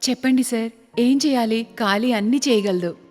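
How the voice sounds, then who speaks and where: A woman's voice: on the high side, normal speed, darkish tone, natural voice. A woman voicing a plucky young village vegetable seller in a cartoon story, speaking earnestly and respectfully. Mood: eager. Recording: long reverb, music behind.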